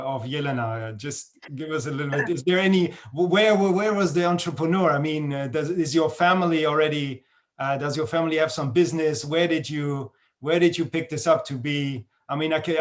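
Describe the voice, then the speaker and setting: Casting note: male podcast host, fairly high voice, rambling questions. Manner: rambling, stacks questions with restarts. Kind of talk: casual interview talk.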